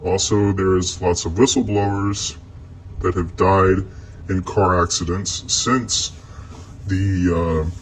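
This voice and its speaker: A man's voice: low-pitched, little pitch movement, takes it slowly, low-quality recording. A man from the United States explaining examples in a casual, loosely structured way.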